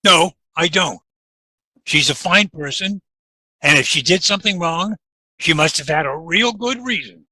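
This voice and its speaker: A man's voice: fairly high pitch, lively intonation, unhurried, sharp tone, dry recording, heavily acted. An actor playing a loyal, indignant young lawman's assistant with an American accent and a plain, earnest way of speaking in a Western radio drama. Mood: upset, defiant. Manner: plain-spoken, stammering earnestness.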